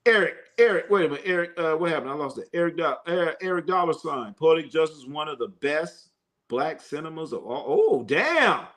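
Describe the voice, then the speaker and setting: A man's voice: a little high, animated, a little fast, a bit bright. Slangy, joking male stream host. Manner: loose, joking, slangy banter with frequent fillers. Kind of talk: casual live stream chat reading comments.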